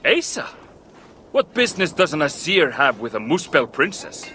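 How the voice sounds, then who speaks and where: An actor voicing an excitable male dwarf metalworker in a video game, theatrical and exclamatory. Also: on the high side, moderate pace, faint music behind. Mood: wary, helpful. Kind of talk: video game cutscene acting.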